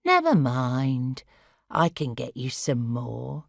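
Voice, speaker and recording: A woman voicing a confused, forgetful elderly woman who talks slowly and gets upset easily. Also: rumbling low, huge pitch swings, vocal fry, dry recording, clean studio sound, voice pushed down, exaggerated voice. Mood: vague. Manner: slow, wandering, easily upset.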